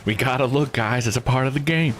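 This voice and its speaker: A man's voice: medium pitch, fast talker, background noise. A man giving casual live game commentary, joking, slangy and thinking aloud. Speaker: video game streamer.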